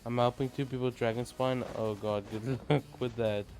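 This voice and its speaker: A man's voice: mid-range voice, fairly even intonation, moderate pace. A man streaming a video game, giving offhand, muttered reactions in casual commentary. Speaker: gaming streamer. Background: game music.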